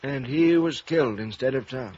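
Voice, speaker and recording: Older male preacher, played by an actor, vintage radio drama: troubled, hesitant, polite, husky, dull lo-fi sound. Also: mid-range voice, a little mellow, lightly acted. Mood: confiding. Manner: hesitant, courteous, halting. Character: troubled preacher, former silent film director, guarded and confiding. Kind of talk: 1950s American radio drama acting. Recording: muffled vintage radio recording.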